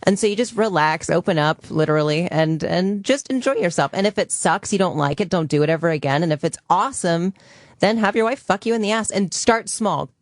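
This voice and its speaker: A woman with a soft voice, talking frankly and casually as a show guest. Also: on the low side, fairly quick, dry recording, studio quality. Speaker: guest adult performer. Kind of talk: casual chat.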